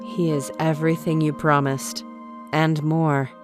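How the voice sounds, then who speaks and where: A woman acting a calm, devoted ancient woman in an audio drama, earnest toward her king. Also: deep voice, a little slow, soft background music. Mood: calm, reverent.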